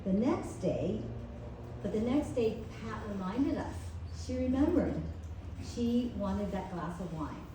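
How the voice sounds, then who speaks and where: A woman reading a prepared eulogy at a memorial, measured and tender. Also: mid-range voice, expressive. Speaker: family member giving a eulogy. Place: memorial gathering in a large room. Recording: echoey room, distant mic, faint noise.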